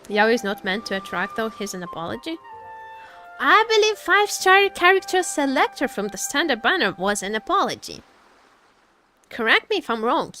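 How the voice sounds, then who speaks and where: A woman's voice: on the high side, fairly bright tone. A woman game streamer chatting casually with viewers in a playful, teasing way as she reads and answers their comments. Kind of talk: casual livestream chat with gaming commentary. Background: background music.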